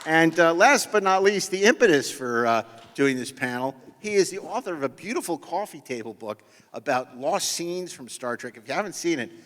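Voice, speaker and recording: A man's voice: fairly high pitch, lively intonation, moderate pace, hardly any pauses, a bit bright. A man moderating a panel live on stage, conversational and rambling with joking asides. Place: convention stage before an audience. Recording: echoey hall, stage sound system.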